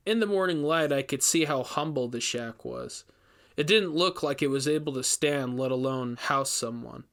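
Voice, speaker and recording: A male investigator, voiced by a man reading aloud recounts a grim ordeal in a horror audio story. His mid-pitched delivery is measured and dramatic, with emphatic first-person storytelling. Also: fairly bright tone, close to an everyday voice.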